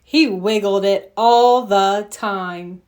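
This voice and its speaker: A woman reading a children's storybook aloud with expressive, deliberate narration. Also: mid-range voice. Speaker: storybook reader.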